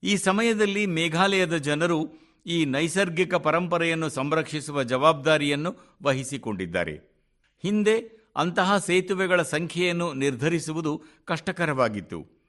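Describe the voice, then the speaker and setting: A man reads a formal scripted address for radio, in measured, deliberate phrases with clear pauses. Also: fairly high pitch, expressive, fairly bright tone. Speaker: radio reader of a translated speech.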